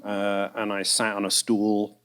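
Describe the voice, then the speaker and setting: A man telling stories in a relaxed, self-deprecating way as a voice actor on a festival panel. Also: fairly low pitch, a little slow, clean tone. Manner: chatty, self-deprecating storyteller. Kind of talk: casual panel talk. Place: fine arts center stage.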